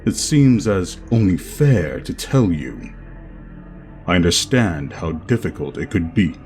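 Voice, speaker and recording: An enigmatic masked doctor of few feelings, acted by a man for a horror audio drama. His voice is very low and he speaks very slowly, in formal, clinical phrasing. Faint music plays behind him. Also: lively intonation, darkish tone. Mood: restrained sympathy. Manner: formal, measured, emotionless phrasing.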